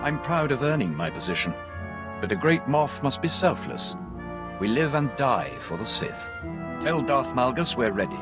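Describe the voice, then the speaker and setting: A man voices a proud fleet commander in a space game. He is formal, crisp and dutiful, with a middle-pitched voice. Clear music plays behind him. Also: sing-song, normal speed, natural voice. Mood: proud deference. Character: dutiful, proud male Imperial fleet commander, hard-nosed. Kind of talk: dramatic video game acting.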